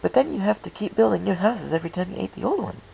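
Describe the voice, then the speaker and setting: A man's voice: sits high, lively intonation, quick pace, creaky, warm tone, a little hiss or hum, pitched above the performer's own voice, full character voice, rough recording. A man voicing a hyper, cheerful young female storybook pony who chatters and rambles in a bouncy manner. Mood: rambling, silly. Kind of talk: storybook character voice in fan fiction reading.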